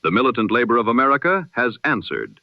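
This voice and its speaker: Male vintage radio news announcer, formal, solemn, animated intonation, dry close sound, old radio filter. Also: mid-range voice, fast, no room sound, muffled recording. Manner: formal, solemn, rhetorical delivery. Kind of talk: scripted 1920s-style old-time radio news program.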